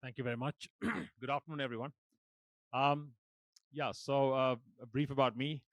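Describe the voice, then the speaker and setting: A man's voice: medium pitch, moderate pace, no echo. Kenyan man telling his company story. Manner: explanatory, storytelling. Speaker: company chairman. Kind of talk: panel introduction.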